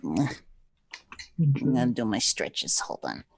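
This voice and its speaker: A woman's voice: a bass voice, sing-song, extremely slow, creaky, noisy background. A woman in casual, teasing banter with fellow performers, with a sardonic manner. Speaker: radio play performer.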